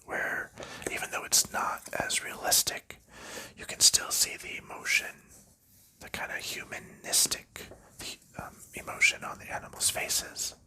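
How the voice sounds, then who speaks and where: A man whispering softly as an ASMR host, rambling casually with frequent pauses and ums while he unwraps and sleeves game cards. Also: low-quality recording.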